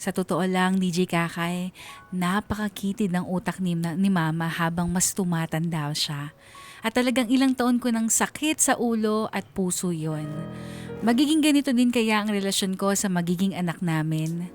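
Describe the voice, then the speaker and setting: A woman's voice: on the low side, moderate pace, lightly acted. Reflective married woman voiced by an actress, music behind. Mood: worried, reflective. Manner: reflective, confiding. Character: troubled married woman confiding her family conflict. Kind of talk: first-person narration in a radio drama.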